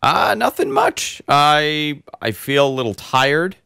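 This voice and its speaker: A man hosting a casual tech podcast, hoarse and congested, telling long rambling stories full of fillers. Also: a little high, slow, dry recording, studio quality. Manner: rambling, animated storyteller with many fillers. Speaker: host.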